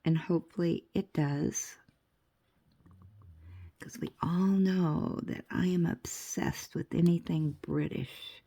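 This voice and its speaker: An older woman talks to her viewers in a slow, rambling way, her low voice carrying a gravelly edge. She sounds a bit stuffed up and laughs easily between her thoughts. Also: steady tone, dark tone. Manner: rambling, chatty, laughs often. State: stuffy nose. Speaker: crafting vlogger. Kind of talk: casual chatty vlog.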